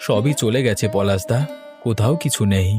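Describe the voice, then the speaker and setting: A man's voice: lowish voice, normal speed, music in the background, natural voice. Man voicing a weary, despairing poor fisherman. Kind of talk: cartoon story dialogue.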